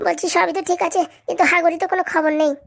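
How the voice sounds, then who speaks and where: A woman playing a sharp-tongued, scheming young village daughter in a comic cartoon, sassy and matter-of-fact. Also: extremely high pitch, flat delivery, very fast, creaky. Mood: brisk, conspiring.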